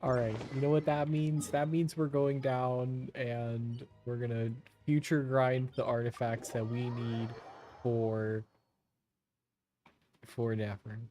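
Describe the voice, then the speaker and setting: A man's voice: mid-range voice, level tone, slow pace, warm tone, faint music behind. A man giving casual, rambling live commentary while streaming a game, muttering to himself.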